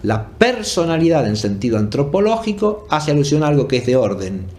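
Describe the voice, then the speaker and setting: A man giving an explanatory academic lecture off the cuff, deliberate and often checking for agreement with short tag questions. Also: a little high, sing-song, a little mellow. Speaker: philosophy lecturer.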